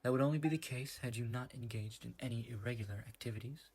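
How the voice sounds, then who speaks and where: Husky, stern fantasy investigator man acting. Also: mid-range voice, quick pace, darkish tone. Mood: stern, accusing. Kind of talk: amateur game-quest dub.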